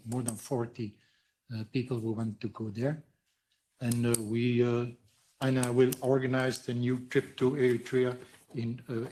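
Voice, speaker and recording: Man, very unhurried pace, halting, emphatic, political comment. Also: mid-range voice, a little mellow. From Belgium. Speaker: audience commenter, tour organiser. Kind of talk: impromptu political statement at a roundtable.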